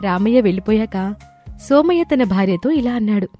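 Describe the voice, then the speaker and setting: A woman's voice: medium pitch, lively intonation, normal speed, warm tone. Woman narrating a moral story over music. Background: background music.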